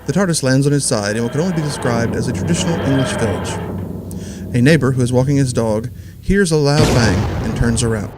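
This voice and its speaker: A man's voice: medium pitch, fairly quick, over loud music. A man giving scripted, present-tense narration as the storyteller of an audio drama.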